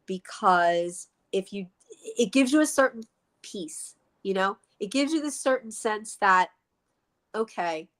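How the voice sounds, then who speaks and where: A woman's voice: mid-range voice, lively intonation, measured pace, a bit bright. A woman in her sixties from the Philadelphia Main Line, co-hosting a casual live chat, speaking reflectively, laughing often and repeating words while she thinks. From Philadelphia Main Line, USA. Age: 60s. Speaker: co-host.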